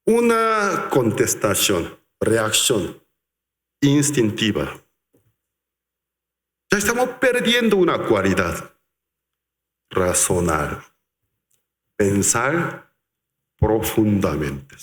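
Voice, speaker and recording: Male pastor, unhurried lively sermon delivery, reverberant church hall sound. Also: on the low side. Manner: animated, acts out quotes, slows for emphasis.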